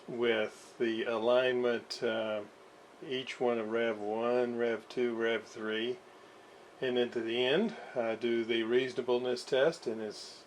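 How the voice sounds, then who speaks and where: A man giving casual, unscripted workbench commentary in a halting way, with pauses and self-deprecating asides. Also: medium pitch. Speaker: host of radio repair videos. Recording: clear background noise.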